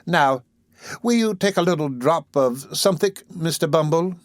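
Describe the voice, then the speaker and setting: A man's voice: fairly high pitch, animated, moderate pace, no room sound, clean studio sound, voice pushed up, natural voice. A man voicing a fawning, scheming woman who runs a nursery for orphans, gushing and wheedling with overdone humility. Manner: gushing, wheedling, overly humble. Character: fawning, scheming middle-aged woman running a workhouse nursery.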